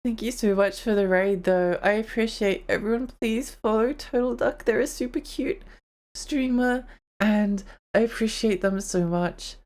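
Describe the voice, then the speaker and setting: A woman's voice: fairly high pitch, a little slow, darkish tone. A young woman streamer chatting casually on a live stream, her voice tired and strained, rambling and giggling as she talks.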